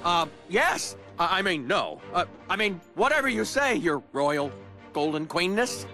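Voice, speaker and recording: An actor playing a nervous, grovelling troll servant in a video game, stammering and servile. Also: male voice, high voice, sing-song, measured pace, full character voice. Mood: anxious, servile. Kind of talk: video game cutscene acting. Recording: music behind the voice.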